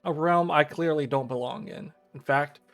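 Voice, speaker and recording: Man reading as aloof, fatalistic schoolgirl narrator, measured. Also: fairly high pitch, a bit bright, faint music behind. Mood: resigned. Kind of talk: scripted visual novel narration, read aloud.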